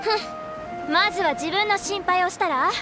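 Young woman, high-pitched, candid, a little tart, game dialogue over faint music. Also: a little slow. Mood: teasing. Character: brisk, candid, teasing young troupe woman. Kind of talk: Japanese game voice acting.

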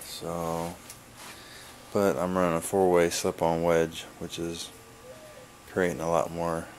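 A man giving a casual, laid-back vlog update in a halting, plain-spoken way, recorded on a handheld camera in a garage. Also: low-pitched, completely flat delivery, a little hiss or hum. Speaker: wood-splitting video blogger. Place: garage, open to outdoors. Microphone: handheld camera's built-in mic.

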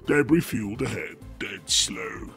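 A man's voice: lowish voice, animated, unhurried, a bit bright, slightly rough. A man giving a theatrical, declaiming comic reading of a mock-epic for an online radio show. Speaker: story reader. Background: music.

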